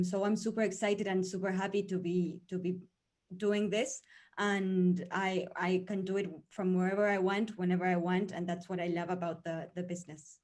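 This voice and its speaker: A woman's voice: medium pitch, a little mellow. A nineteen-year-old woman from Argentina, an acting student, speaking hesitantly with fillers and restarts. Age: teens.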